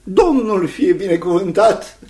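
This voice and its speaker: An actor in a radio drama playing a kindly, fussy older gentleman, exclaiming, stammering and repeating himself. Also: sits high, lively intonation. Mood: soothing. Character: kindly, flustered older gentleman, protective guardian.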